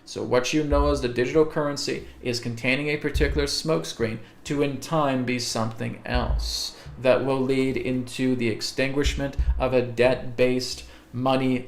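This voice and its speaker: A man speaking in a gravelly, creaky voice, hosting a live spiritual webcast and answering viewer questions. He talks slowly and deliberately, often pausing to check in with "okay?". His voice carries the echo of a roomy space. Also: medium pitch, a little hiss or hum. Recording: echoey room.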